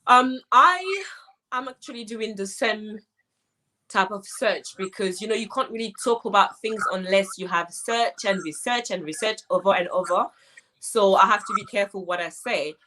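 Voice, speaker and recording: A young Haitian woman with a Haitian French accent, a guest talking through questions in a casual, measured way and laughing easily. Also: medium pitch, background noise. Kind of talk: casual interview chat. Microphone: laptop live stream.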